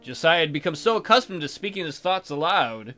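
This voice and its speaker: A man's voice: on the high side, big pitch swings, brisk, sharp tone, faint music behind. A man hosting a let's play, reading game text aloud expressively and adding casual jokey commentary.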